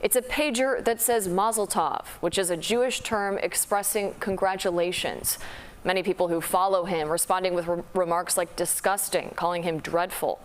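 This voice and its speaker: A woman's voice: fairly low pitch, fairly even intonation, normal speed. A woman with an American accent delivering a scripted television news report as a reporter.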